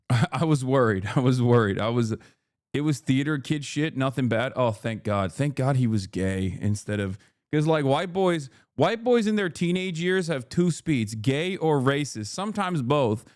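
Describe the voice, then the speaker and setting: A man's voice: mid-range voice, animated, normal speed, dry recording, clean studio sound. Slangy joking male streamer. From Turkey. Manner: loud, joking, slangy, swears freely. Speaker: streamer reading chat. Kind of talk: casual livestream chat.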